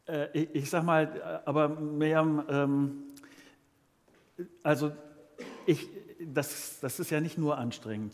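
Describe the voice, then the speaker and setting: Male pastor as interviewer, higher register, tentative word-searching delivery, long hall reverb. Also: darkish tone. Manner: hesitant, searching for words, halting phrasing. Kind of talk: informal live interview before a congregation.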